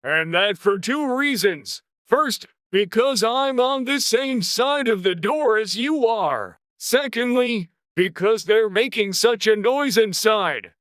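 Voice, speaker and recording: A storybook footman creature, dim and obstinate. The voice is pitched way up and drags along slowly in a dry, close recording. It sounds vacant, deliberate and entirely unhelpful. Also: animated, fairly bright tone, smooth, no echo, heavily acted. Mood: dully pedantic.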